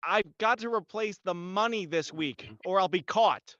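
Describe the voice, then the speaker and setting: An actor playing a desperate, exasperated nephew in a melodramatic 1940s-style horror radio play. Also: male voice, high-pitched, measured pace, a bit bright, no room sound, muffled recording. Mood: uneasy. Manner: pleading, indignant, melodramatic.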